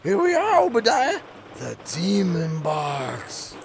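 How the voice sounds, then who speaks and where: Very high, creaky Southern sidekick. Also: male voice, huge pitch swings, slow, slight background noise, full character voice. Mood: eager, earnest. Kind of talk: comedy horror audio drama acting.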